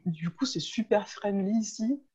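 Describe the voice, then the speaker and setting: A woman's voice: medium pitch, animated, a little slow, a crowd in the background. Woman chatting on a dull, lo-fi recording. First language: French. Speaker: podcast guest, web entrepreneur. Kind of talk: casual interview, personal storytelling.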